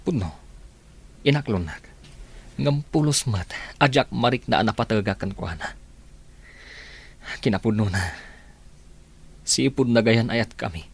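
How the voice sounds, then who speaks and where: A man's voice: mid-range voice, a little slow, vocal fry, long pauses, breathy edge. An actor with a Filipino accent playing a lovesick, heartbroken young man in a radio drama. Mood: downhearted.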